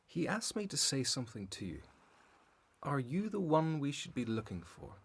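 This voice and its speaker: An actor playing a sombre, loyal messenger in a radio Bible drama, speaking gravely and earnestly. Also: male voice, mid-range voice, sing-song, normal speed, exaggerated voice. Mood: grave, hopeful.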